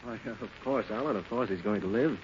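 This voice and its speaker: An actor playing a brisk, assured doctor in an old radio drama. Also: male voice, on the low side, natural voice. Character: brisk, confident reassuring doctor. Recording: hissy, muffled old radio recording.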